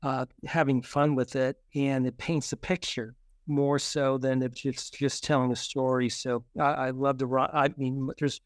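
Male interview guest, smooth clean tone, very dry sound, conversational storyteller who halts and restarts. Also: mid-range voice, level tone, no echo. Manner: halting, repeats words and restarts. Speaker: guest, children's book author.

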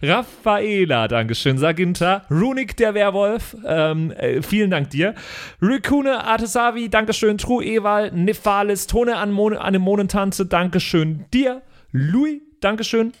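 A man's voice: high-pitched, expressive, a little slow. A man hosting a podcast, reading out thanks to supporters in a casual, playful way.

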